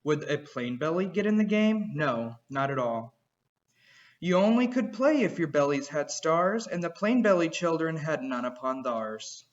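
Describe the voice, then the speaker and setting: Male storyteller, high voice, rhythmic rhyming read-aloud, lo-fi home recording. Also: very animated, measured pace. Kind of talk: reading a children's picture book aloud.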